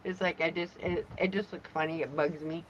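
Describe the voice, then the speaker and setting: A woman chatting casually, talkative and giggly, her voice hoarse and going in and out. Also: sits low, steady tone, brisk. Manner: loud-natured, giggly, talkative. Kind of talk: casual chat. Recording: dull lo-fi sound with faint background noise.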